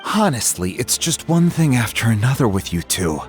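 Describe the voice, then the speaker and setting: An actor playing an exasperated but caring middle-aged uncle in a video game. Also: male voice, medium pitch, big pitch swings, moderate pace, faint music behind, natural voice.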